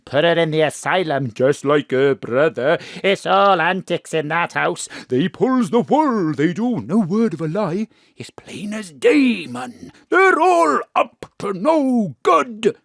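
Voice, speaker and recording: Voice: medium pitch, big pitch swings, slow pace, creaky, few pauses, dry recording, clean studio sound, exaggerated voice. An actor playing a spiteful small-town neighbour gossiping in dialect with sing-song indignation in an audio drama.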